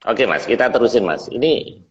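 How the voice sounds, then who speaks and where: A man's voice: medium pitch, expressive, moderate pace, a little hiss or hum. A man from Indonesia hosting a casual talk, speaking informally and repeating short phrases. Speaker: host. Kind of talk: casual chat interview. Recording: dull lo-fi audio.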